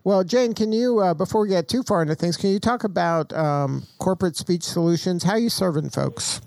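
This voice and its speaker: A man's voice: high voice, fairly quick, creaky, darkish tone, no echo. A man hosting a radio interview show in a studio, jokey and conversational, laughing easily.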